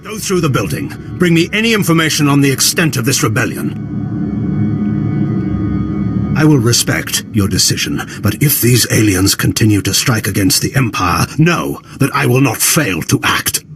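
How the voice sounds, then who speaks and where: Brief: arrogant military admiral, authoritative and formal, very animated intonation over game music. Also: male voice, medium pitch, normal speed. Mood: cold, guarded. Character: stern, haughty Imperial admiral, formal and commanding. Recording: loud game music behind voice.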